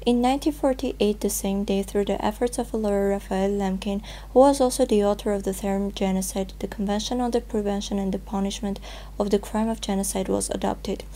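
A woman's voice: mid-range voice, steady tone, a little fast, warm tone, slight background noise. A young woman reading scripted news in a formal manner as a presenter. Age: young adult.

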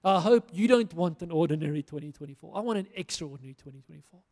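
A man's voice: fairly high pitch, expressive, fast talker, darkish tone. A middle-aged man from South Africa, preaching on a church stage into a handheld mic, earnest and rousing with emphatic repetition. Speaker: pastor. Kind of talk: sermon. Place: church stage in a large hall. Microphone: handheld microphone. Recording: long hall reverb.

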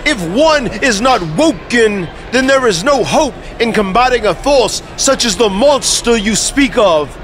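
Pompous mystical wrestler, very high pitch, slow grand delivery, video game. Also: male voice, lively intonation, fairly bright tone, a little hiss or hum, full character voice. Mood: lofty, solemn. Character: eccentric, pompous, mystical wrestler, adult man.

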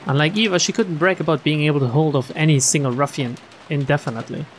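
A man reading a visual novel aloud as a streamer, with expressive narration and casual asides. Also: fairly high pitch, quick pace, a little hiss or hum.

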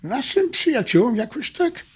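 A man's voice: very high-pitched, big pitch swings, moderate pace, husky, heavily acted. A man playing an easygoing, wryly amused friend who likes to philosophize in an audio drama. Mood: incredulous, wry. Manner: wry, leisurely, teasing. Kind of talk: Polish audio drama acting.

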